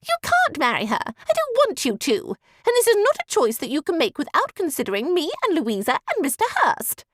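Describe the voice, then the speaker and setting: Woman doing a shrill, haughty young lady: high and screechy, spoiled. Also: huge pitch swings, normal speed, dry recording, clean studio sound. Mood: screeching.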